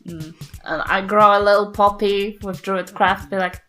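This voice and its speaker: A woman's voice: medium pitch, steady tone, moderate pace, pushed and bright. Woman playing a tabletop game, middle-range voice, playful little comments, music behind her. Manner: playful, brief interjections. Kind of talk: casual in-game banter. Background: background music.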